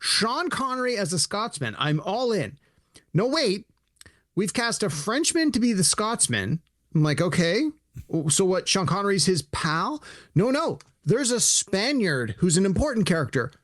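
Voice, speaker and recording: A man co-hosting a podcast in casual chat, animated, acting out imagined dialogue as he talks. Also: high-pitched, measured pace, no room sound, studio quality. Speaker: podcast co-host.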